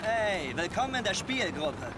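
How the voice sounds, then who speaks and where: A nervy young soldier in a war game. He chatters in a high male voice, full of sarcastic asides. Loud vehicle noise runs behind him. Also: sing-song, moderate pace. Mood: dry teasing. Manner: wisecracking, talkative.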